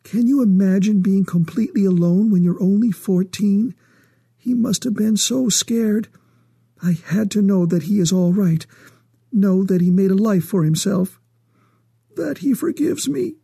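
A man's voice: sits high, lively intonation, measured pace, creaky, mellow, breathy edge, dry recording, pitched above the performer's own voice, exaggerated voice. A man voicing a tearful, anxious mother grieving for her lost son. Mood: anguished.

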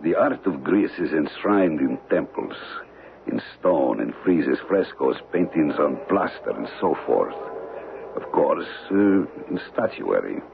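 Shady antiquities smuggler, very deep swooping voice, worldly and deliberate, old radio drama. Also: male voice, big pitch swings, gravelly, full character voice. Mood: wary, suave. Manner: deliberate, worldly, formal phrasing with dramatic pauses. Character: shady, calculating male smuggler of stolen antiquities. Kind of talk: old-time radio mystery acting. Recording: muffled, noisy old radio broadcast recording.

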